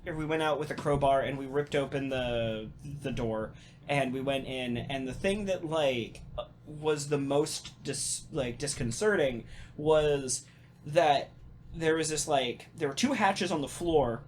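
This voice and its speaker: A man with a fairly high voice talks casually on a podcast, animated and halting as he tells stories, swearing freely. There is some background room noise. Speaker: podcast host and voice actor. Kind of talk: casual podcast chat and storytelling.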